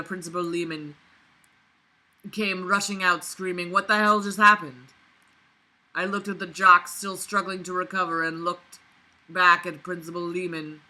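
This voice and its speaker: A woman's voice: on the low side, fairly even intonation, normal speed, bright, forceful tone, poor audio. A woman reading a book aloud and breaking in with sarcastic, indignant, sweary commentary. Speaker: vlogger reading and critiquing a novel.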